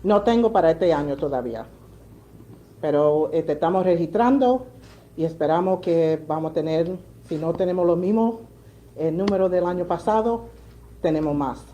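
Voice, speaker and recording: A woman's voice: fairly low pitch, animated, brisk, mellow, slight background noise. A woman from the Republic, answering press questions as an education program leader, hesitant with restarts. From Dominican Republic. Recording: echoey room with crowd murmur.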